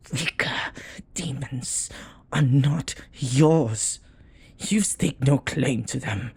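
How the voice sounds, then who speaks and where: A jealous, devoted demon lover played by a man in an intimate audio roleplay. The voice is very husky and breathy with a gravelly creak, and it moves slowly and deliberately through intense, dramatic phrasing. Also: mid-range voice, darkish tone, heavily acted. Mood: jealous, insistent. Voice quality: husky, breathy, often dropping to a whisper. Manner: slow, deliberate, dramatic pauses.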